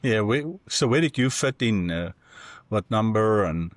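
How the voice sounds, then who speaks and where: A man's voice: lowish voice, expressive, unhurried, dry recording. A man co-hosting an interview podcast, asking rambling, easygoing questions full of fillers. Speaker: podcast co-host.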